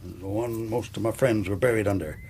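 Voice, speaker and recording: An actor playing a middle-aged, willful, sardonic rich uncle with an American Southern accent in a radio drama. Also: male voice, lowish voice, a little fast, a little mellow, a little hiss or hum, close to an everyday voice. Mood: bitter, goading. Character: hard-drinking, willful rich ex-Confederate uncle, gruffly sardonic.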